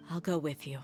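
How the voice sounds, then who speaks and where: A woman's voice: sits low, close to an everyday voice. An actress playing a tough, sincere young mercenary woman, speaking frankly and with measure in a video game drama. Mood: resolved, subdued.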